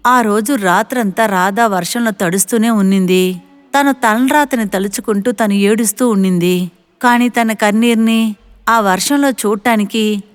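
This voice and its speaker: A woman narrating a scripted moral story. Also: mid-range voice.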